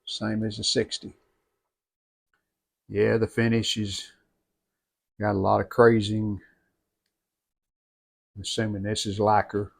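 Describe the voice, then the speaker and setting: Slow-talking man with a gravelly voice. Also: on the low side, flat delivery. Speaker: radio restorer presenting own channel. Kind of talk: unscripted hands-on restoration walkthrough.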